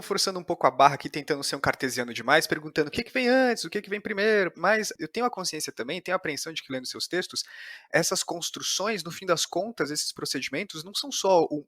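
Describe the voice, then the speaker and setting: A Brazilian man hosts an analytical interview in a bright, forward voice pitched a little high. He talks discursively, circling his point and questioning himself. The sound is close and dry. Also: no room sound. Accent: Brazilian Portuguese. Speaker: podcast interviewer.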